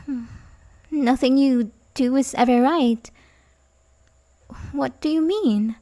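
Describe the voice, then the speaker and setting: Woman performing a manipulative young female babysitter, obsessive, falsely sympathetic, intimate ASMR roleplay, slow pace, slightly high pitch, hesitant and flustered. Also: warm tone. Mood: coaxing, eager. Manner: hesitant, stammering, nervous chuckles, sighs.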